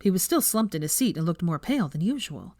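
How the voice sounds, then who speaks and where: Animated woman narrator with a lowish voice. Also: quick pace, dry recording, clean studio sound. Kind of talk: expressive fan fiction audiobook narration.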